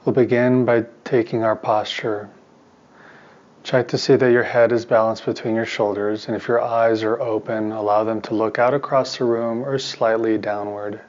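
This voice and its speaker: A man teaching meditation in a soft voice, giving calm, soothing instructions in short, spaced phrases. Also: fairly low pitch, normal speed, dull audio. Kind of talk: guided meditation.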